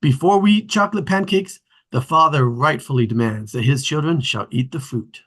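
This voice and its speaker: A man's voice: a little high, moderate pace, a bit bright. A man reading the part of a family father in a scripted drama table read. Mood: playful, mock-solemn. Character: playful, mock-solemn family man and father.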